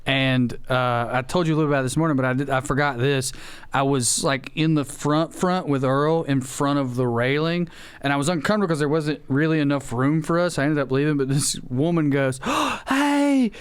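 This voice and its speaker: A man's voice: a little high, normal speed, clean tone. A man from East Tennessee with a local Southern accent, telling casual anecdotes as a podcast co-host.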